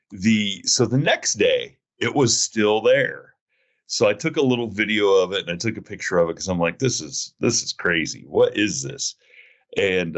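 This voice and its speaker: Low-voiced rambling podcast host. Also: male voice, highs cut off. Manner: rambling storyteller, self-mocking. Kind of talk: casual chat.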